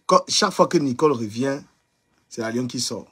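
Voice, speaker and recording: A man's voice: medium pitch, lively intonation, normal speed, a bit bright, husky. A man commenting bluntly and mockingly on online gossip during a live stream.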